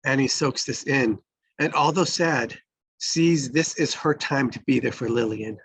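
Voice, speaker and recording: A man's voice: medium pitch, measured pace, fairly bright tone, a little hiss or hum, low-quality recording. A man reading stage directions as the narrator of a screenplay table read.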